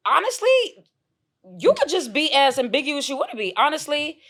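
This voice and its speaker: A young woman from Haiti talking in a casual podcast discussion, animated and quick to laugh, arguing her point with conviction. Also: high voice. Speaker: guest.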